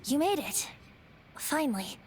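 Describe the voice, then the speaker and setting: A woman's voice: high voice, very animated, measured pace. A woman voicing a youthful male bard, a wind spirit in human form, lyrical, playful and wistful in a video game. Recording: game music under the voice.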